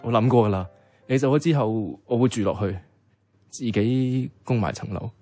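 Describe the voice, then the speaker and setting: A man's voice: medium pitch, moderate pace, mellow, no echo, faint music behind, lightly acted. An actor with a Hong Kong Cantonese accent playing an earnest, clumsy, lovestruck young man in a radio drama. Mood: quiet, sincere.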